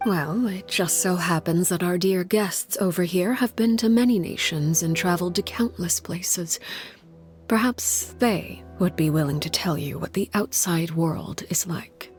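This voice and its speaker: Woman playing a solemn woman leader, lowish voice, composed, measured, formal, restrained, video game drama, music behind. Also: natural voice. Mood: calm, courteous. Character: solemn, composed woman who heads an orphanage of agents.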